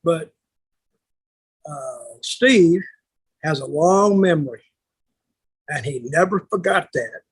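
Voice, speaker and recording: An older man with a husky voice telling stories casually on a podcast, blunt and plainspoken, halting and repeating words. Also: fairly high pitch, animated, rough recording. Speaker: podcast co-host, wrestling veteran.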